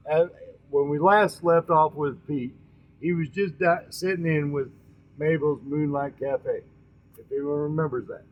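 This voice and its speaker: Halting, folksy man storyteller, slightly high voice. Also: muffled recording. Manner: halting, often repeats and corrects words; folksy, addresses listeners directly. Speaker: storytelling host. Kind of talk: informal oral storytelling.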